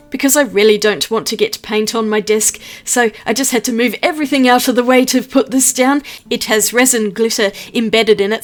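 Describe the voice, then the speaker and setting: Chatty woman from New Zealand. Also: fairly high pitch. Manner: chatty, upbeat, explains each step. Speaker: art vlogger. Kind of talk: scripted voice-over narration.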